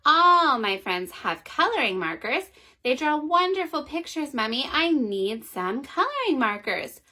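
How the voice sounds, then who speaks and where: A woman's voice: high voice, big pitch swings, measured pace, sharp tone, heavily acted. A woman voicing a pushy young girl who keeps nagging her mother, in a whiny, insistent way. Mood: pleading.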